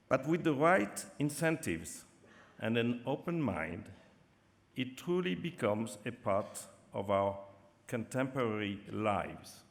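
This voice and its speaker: A man with a non-native English accent co-hosting an awards ceremony, speaking in a measured, halting way with many fillers. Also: mid-range voice, creaky. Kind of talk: formal ceremony announcing. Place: large theatre stage. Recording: long hall reverb from a theatre stage.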